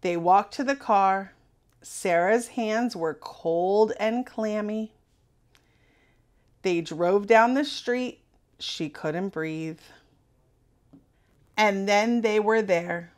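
A woman reading a children's storybook aloud in an expressive narrating style. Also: mid-range voice, very slow, pauses often. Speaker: storybook reader.

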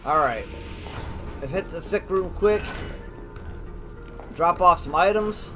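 Higher-voiced man casually narrating his gameplay. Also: lively intonation, takes it slowly, a bit bright, soft background music. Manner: informal, reactive, thinks aloud. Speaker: let's play host.

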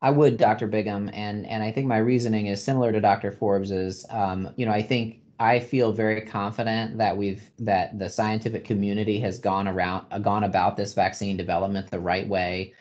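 A man's voice: fairly low pitch, little pitch movement, fairly quick, few pauses, a little mellow. A man answering as a physician panelist on a video call, explaining step by step and repeating phrases for emphasis. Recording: dull video-call audio.

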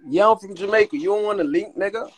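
A young man hosting a phone livestream from a small indoor room, talking in brash, swearing, quick banter. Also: high-pitched. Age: young adult. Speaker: livestream host. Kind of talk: casual livestream chat. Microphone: phone held close to the face.

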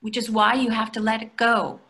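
Wife and mother, middle-aged woman actor: bright, forward voice, dry and teasing, matter-of-fact, video-call play reading. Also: fairly high pitch, little pitch movement, normal speed. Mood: firm, reassuring. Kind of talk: readers theatre play reading. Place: indoors at home, on a video call.

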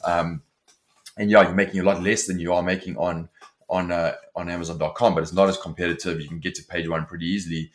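A South African man in his forties with a very low, deep voice, talking casually over a video call from a home office. He pushes his points forward with lots of restarts and "you know" fillers. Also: little pitch movement, fast. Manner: many restarts and fillers, fluent and forward-driving. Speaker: software company founder, guest. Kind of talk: casual interview talk.

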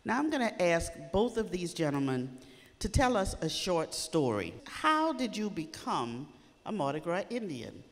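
An older woman moderates a panel, asking slow, clear questions in a lively, rising and falling voice. She holds a handheld mic in a community hall, and her voice carries a long echo. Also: fairly low pitch.

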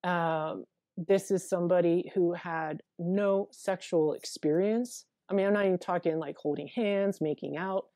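A woman with a deep voice, a therapist speaking as a guest on a video call, talking frankly and earnestly with many fillers and restarts. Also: measured pace, dark tone, no room sound, clean studio sound. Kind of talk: candid interview conversation. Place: small room at home with a window.

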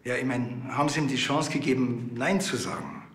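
An actor playing a dry, skeptical, sardonic small-town policeman with a deadpan manner and a Bavarian accent. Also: medium pitch, brisk, breathy edge. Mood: probing.

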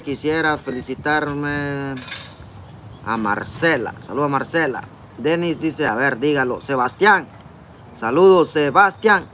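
A man's voice: a little high, fairly bright tone, a little hiss or hum. A man in his forties with a Honduran Spanish accent, casually greeting viewers by name on a phone livestream while eating outdoors. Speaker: livestream vlogger reading chat. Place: outdoors in a park, seated at a table. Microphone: phone camera close up.

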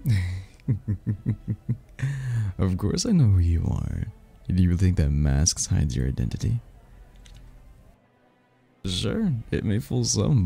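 A man acting a deep, husky, charming masked stranger. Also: full character voice. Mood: courtly, teasing. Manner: playful, flirtatious, hushed. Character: charming, teasing mysterious masked stranger courting a royal. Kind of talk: ASMR romantic roleplay.

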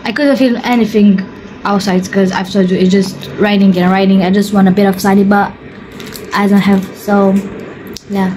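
A woman's voice: medium pitch, level tone, normal speed, warm tone, slight background noise, poor audio. A young woman vlogging in a casual, joking way, talking to a handheld phone in a small indoor salon. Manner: chatty, joking, repeats filler words. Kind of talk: casual vlog chat to camera. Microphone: phone held at arm's length.

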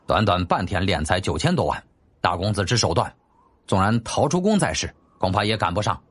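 A man's voice: low-pitched, sing-song, brisk, husky, full character voice. A man voicing a stern, curt magistrate in a formal, commanding manner for an audiobook. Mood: formal, restrained. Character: stern, curt male magistrate, feared harsh official.

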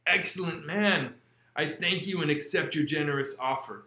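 A man's voice: on the high side, normal speed, sharp tone. A man voicing a lonely, shunned male creature who pleads in a formal, earnest way. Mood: pleading. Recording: roomy echo.

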